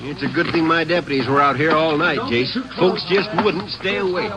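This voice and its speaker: Actor as a folksy sheriff, high-pitched, noisy radio. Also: male voice, normal speed, natural voice. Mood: subdued. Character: folksy, concerned small-town Texas sheriff. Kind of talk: 1940s-50s radio drama acting. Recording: very noisy vintage radio recording.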